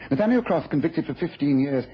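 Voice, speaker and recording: An actor playing a shrewd, self-assured English detective in a British radio drama, speaking briskly, precisely and knowingly. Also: male voice, a little high, expressive, husky. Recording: dull old radio recording, faint noise.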